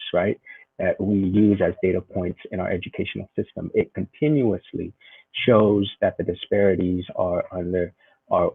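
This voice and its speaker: A man's voice: deep voice, slightly rough, no echo. A man in his forties, a guest in a conversational interview, speaking thoughtfully with frequent fillers and restarts over a video call. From United States. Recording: tinny phone-like video call.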